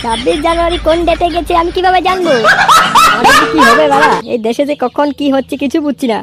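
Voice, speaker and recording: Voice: high-pitched, fast, very noisy. An actor voicing a crude, boozy, irritable young layabout in a comedy cartoon, whining and swearing. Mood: puzzled, complaining.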